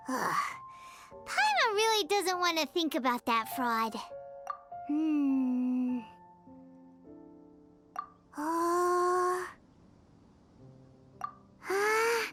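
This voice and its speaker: A woman voicing a tiny, childlike, excitable fairy companion in a video game, chatty and whiny with exclamatory delivery. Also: extremely high pitch, heavily acted. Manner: speaks of self in third person, exclamatory. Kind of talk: video game character acting.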